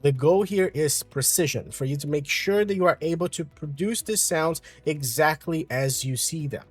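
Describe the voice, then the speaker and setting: A man from Brazil with a Brazilian Portuguese accent, calmly explaining an English lesson with frequent fillers. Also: a little high, moderate pace, breathy edge, faint music behind. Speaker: English teacher.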